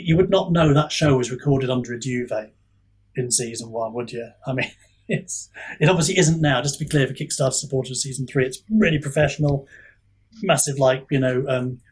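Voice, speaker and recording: A man's voice: mid-range voice, husky. A man talking casually as an author on a podcast, modest and hesitant, rambling a little.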